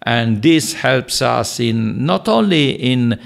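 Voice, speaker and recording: A man's voice: medium pitch, expressive, slow pace, creaky. A man from Tuscany with a Tuscan Italian accent, co-hosting a podcast in an earnest, emphatic way. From Tuscany, Italy. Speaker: podcast co-host. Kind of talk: podcast conversation.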